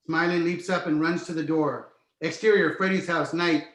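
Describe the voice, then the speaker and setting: Higher-voiced man narrating, echoey room. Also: steady tone, normal speed, fairly bright tone. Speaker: stage direction narrator. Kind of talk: scripted narration of a screenplay. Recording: roomy, echoey sound.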